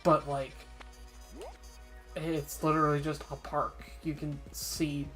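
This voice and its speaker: Woman, low voice, slightly stuffy nose, chatty streamer, faint game music underneath. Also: little pitch movement, slow, a bit bright. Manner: chatty, frequent ums and uhs, candid opinions. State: congested, a little sniffly. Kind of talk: casual gameplay commentary.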